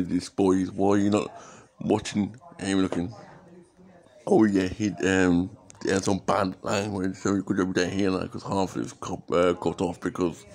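A man's voice: low-pitched, gravelly, a little mellow. Mumbling young man with a worn voice. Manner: mumbling, jokey, narrating himself in third person. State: losing his voice. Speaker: vlogger. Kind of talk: casual rambling self-commentary. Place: small dim living room at home. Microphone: webcam or phone camera at close range.

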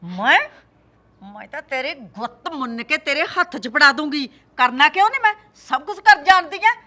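A woman playing a shrill scolding Punjabi mother-in-law. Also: expressive, moderate pace, heavily acted. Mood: mocking. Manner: taunting, shouting, mocking.

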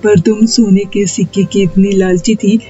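A man voicing a high-pitched menacing haunted tree. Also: level tone, normal speed, dark tone, lo-fi sound, soft background music, lightly acted. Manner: threatening, declarative. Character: menacing haunted talking tree, male, testing greed.

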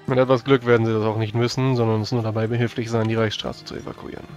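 Man, rapid speech, dry and sceptical, tabletop role-player, faint music underneath. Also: fairly low pitch, flat delivery, a little mellow. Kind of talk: in-character improvised dialogue.

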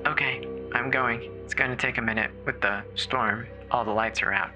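A performer voicing a terrified woman caller alone in a snowbound house, halting and stammering with fear in a horror audio drama. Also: medium pitch, steady tone, over music, lightly acted, low-quality recording. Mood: uneasy, nervous. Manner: halting, fearful, breathy stammering. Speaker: emergency caller.

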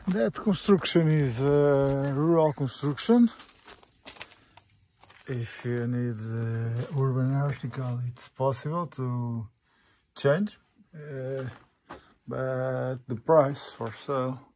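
A man with a Portuguese accent giving an informal property walkthrough as an estate agent, in halting, simple English with short phrases. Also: mid-range voice, darkish tone. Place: outdoors on a rural farm. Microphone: handheld phone or camera held by speaker. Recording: outdoor handheld recording with faint background noise.

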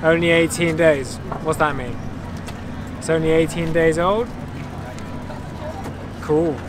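A man's voice: on the high side, steady tone, slow, background noise. A young adult man making dry, joking quips on a casual travel vlog, outdoors in a city at night on a handheld camera. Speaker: vlogger.

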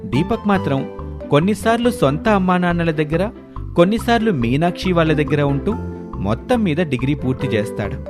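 Man narrating a story over music. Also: fairly high pitch. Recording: music behind the voice.

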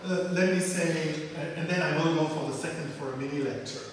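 A man's voice: fairly high pitch, steady tone, a little fast, a little hiss or hum. A middle-aged man, a guest lecturer answering questions with blunt, emphatic opinions into a handheld microphone in a large lecture room. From Germany. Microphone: handheld mic. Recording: echoey hall from far off.

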